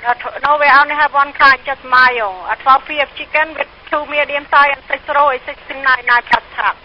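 A woman's voice: high-pitched, fairly even intonation, fairly quick, a little hiss or hum. A woman working at a restaurant, taking a phone order in a hesitant, apologetic way. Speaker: restaurant worker. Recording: muffled phone line.

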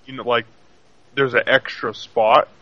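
A man's voice: mid-range voice, steady tone, a little slow, no room sound. A man calling in, talking casually and rambling a little. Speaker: caller. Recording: dull online call audio.

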